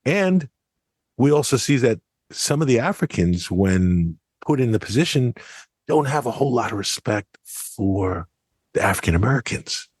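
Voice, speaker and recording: A man co-hosting a podcast, giving conversational analysis in a measured, explanatory way. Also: medium pitch, expressive, slightly rough, no echo, clean studio sound. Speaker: podcast co-host.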